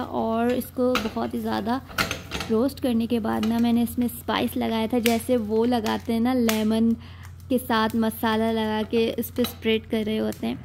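Young woman narrating her vlog, chatty and rambly, bit high voiced. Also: background noise. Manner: chatty, leans heavily on the filler "aur jo hai". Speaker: lifestyle vlogger. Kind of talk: casual voice-over narration of daily life.

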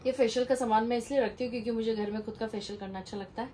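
A woman's voice: a little high, fairly even intonation, very fast, dark tone. A woman giving a casual, chatty home-tour vlog indoors, mixing in English words and phrases. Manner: informal Hindi mixed with English words and phrases. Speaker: vlogger giving a house tour. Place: indoors in small rooms at home. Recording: distant mic with faint room noise.

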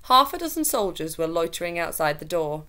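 A woman's voice: lowish voice, very animated, a little fast, pushed and bright. A young adult woman narrating a children's storybook expressively, recorded indoors in a small room. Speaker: teacher reading a story aloud.

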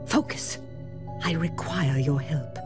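Solemn psychic mentor, older woman, formal, lowish voice, video game. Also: takes it slowly. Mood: grave. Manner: grave, formal, commanding. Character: solemn older female psychic mystic guide. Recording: loud music behind the voice.

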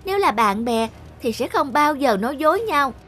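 An earnest young village child in a children's cartoon, with a high, childlike voice. The delivery is spirited and sincere, over a faint bed of music. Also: female voice, big pitch swings, normal speed, fairly bright tone. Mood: determined.

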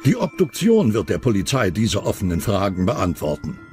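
A man's voice: fairly low pitch, huge pitch swings, moderate pace, a little mellow. A man narrating a true-crime documentary in a dramatic, suspenseful scripted style. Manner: suspenseful, rhetorical questioning. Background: background music.

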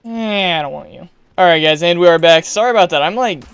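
A young man with a high, smooth voice chats his way through a survival game at his desk mic. He is excitable and shouts at pesky creatures. His voice is a bit hoarse, as if he is losing it. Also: fast talker, dry recording. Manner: chatty, excitable, yells at creatures. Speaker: gaming YouTuber. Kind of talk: casual gameplay commentary.

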